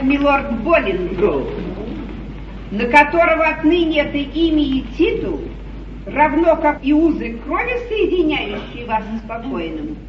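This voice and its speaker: An actress playing a gracious English queen, speaking formally and ceremoniously in a 1950s stage comedy. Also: high-pitched. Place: theatre stage. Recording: distant noisy muffled 1950s theatre recording, echoey.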